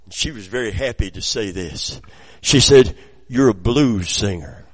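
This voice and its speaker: A middle-aged man preaching a sermon, his voice raspy from preaching, moving between quiet storytelling and loud exclamations. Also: fairly low pitch, expressive, a little slow. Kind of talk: sermon with personal anecdotes.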